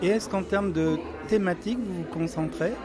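A man's voice: high-pitched, moderate pace, crowd noise. An older man interviewing a guest for radio, steering the talk and rephrasing his questions.

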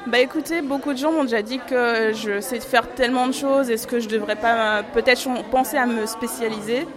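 Young woman interviewed on radio, lots of euh, laughs a lot, noisy crowd around, voice a bit high. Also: brisk. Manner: hesitant, many fillers, laughs often. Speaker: artist photographer, interviewee.

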